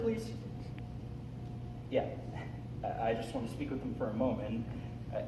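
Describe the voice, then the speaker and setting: Teen guy doing a needy unhinged mama's boy monologue, high voice swinging all over, halting and theatrical. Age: teens, high school senior. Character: unhinged, needy grown man resentful of his domineering mother. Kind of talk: dramatic monologue, stage speech-contest performance. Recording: distant, echoey, noisy hall recording.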